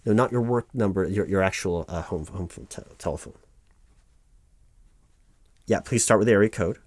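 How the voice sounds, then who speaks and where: A man playing a patient, officious human resources officer, haltingly reading out form fields in a one-sided ASMR roleplay. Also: deep voice, a little mellow, no room sound. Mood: patiently correcting. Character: officious, patient male HR officer doing paperwork. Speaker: human resources interviewer filling out forms.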